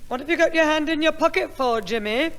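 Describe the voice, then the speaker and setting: A woman acting a brisk, scolding mother with dry wit in a 1960s radio sitcom. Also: sits high, fairly bright tone. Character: stern, dry-witted mother. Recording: old radio recording with noise.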